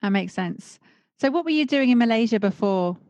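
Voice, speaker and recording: A woman hosting a podcast, reading a scripted intro and then interviewing her guest in a measured, emphatic way. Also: a little high, expressive, a little mellow, no echo, muffled recording. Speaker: podcast host. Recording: dry close audio with faint music.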